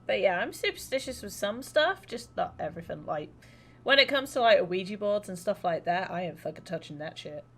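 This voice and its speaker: A woman's voice: mid-range voice, lively intonation, a little fast, fairly bright tone. A woman livestreaming a game, chatting casually in an informal, rambling, sweary way. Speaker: live streamer.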